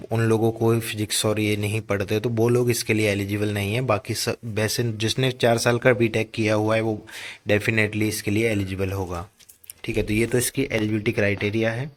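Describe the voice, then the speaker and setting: A man's voice: fairly low pitch, level tone, normal speed. An Indian man presenting an informal, instructive exam-coaching explainer, mixing in English terms and often checking in with the listener. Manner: instructive, mixes Hindi with English terms, repeats check-in tags. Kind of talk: informal explainer of a job notification.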